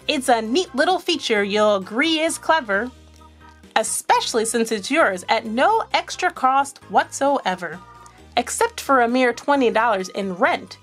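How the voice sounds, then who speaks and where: A woman's voice: on the high side, fairly bright tone. A woman voicing a sly, pushy male rabbit salesman from a storybook, in a wheedling, salesy manner. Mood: sly, breezy.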